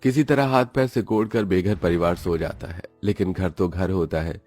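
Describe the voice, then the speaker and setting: Very deep male story narrator. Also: normal speed, a little mellow, no room sound. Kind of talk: dramatic scripted story narration. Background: faint music.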